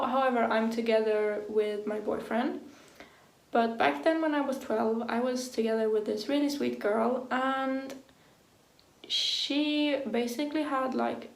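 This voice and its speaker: A young Swedish woman talking frankly to the camera in accented English, her fairly high voice full of vocal fry. She speaks slowly and loosely, swearing often and leaning on "like" as a filler, with nervous little laughs. The room around her rings with a noticeable echo. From Sweden. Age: young adult. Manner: casual, swears freely, heavy use of "like", nervous laughs. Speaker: vlogger. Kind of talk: personal confessional talk to camera.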